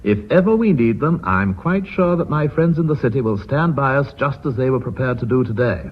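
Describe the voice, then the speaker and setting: An actor playing an authoritative, coolly confident British company chairman in a radio drama, speaking in a measured, formal, drily ironic way. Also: medium pitch, expressive, slight background noise, close to an everyday voice. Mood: formal, gracious. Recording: muffled vintage radio drama recording.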